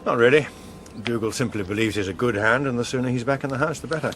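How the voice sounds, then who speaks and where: An actor in a radio drama playing a suave, unflappable veteran politician with an upper-class English accent and an understated manner. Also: male voice, mid-range voice, fast, over music, lightly acted. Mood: cool, guarded. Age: middle-aged. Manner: smooth, understated.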